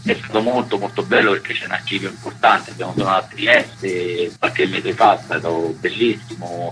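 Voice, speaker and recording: Deep-voiced Roman man chatting. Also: sharp tone, rough recording. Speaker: musician interviewed as band member. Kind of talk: casual interview answers.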